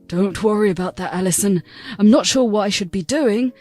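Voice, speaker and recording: A woman's voice: medium pitch, brisk, darkish tone, soft background music, pitched below the performer's own voice, natural voice. A woman voicing a middle-aged father who scolds his daughter sternly in defense of his wife. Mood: troubled.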